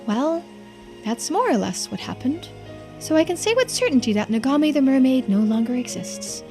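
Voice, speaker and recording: A woman's voice: high voice, very animated, moderate pace, darkish tone, soft background music. A woman voicing a composed, courteous keeper of old lore in a video game, explaining things politely. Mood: matter-of-fact. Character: calm, knowing, courteous storyteller of mermaid lore. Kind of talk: video game dialogue read aloud in character.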